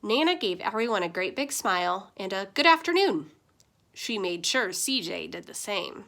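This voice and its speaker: A woman teacher reading a children's picture book aloud with expressive, lively storytelling. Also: mid-range voice, normal speed, creaky.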